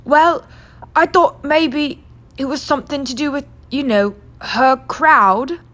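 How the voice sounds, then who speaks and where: A woman's voice: mid-range voice, moderate pace, close to an everyday voice. A woman voicing a nervous, stuffy middle-aged husband who hesitates and mumbles, in a storybook reading.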